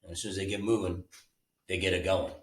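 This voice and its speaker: A man reading a children's bedtime story aloud in an animated, informal way, like a storyteller with a picture book. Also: sits low, fast talker. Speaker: storybook reader. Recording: roomy, mic at a distance.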